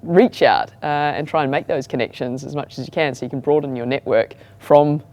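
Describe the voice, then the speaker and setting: Low-voiced woman with a Kiwi accent. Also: a little fast, no room sound. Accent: New Zealand. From New Zealand. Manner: relaxed and conversational, with frequent "like" and "I mean". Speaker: startup founder. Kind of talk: panel discussion.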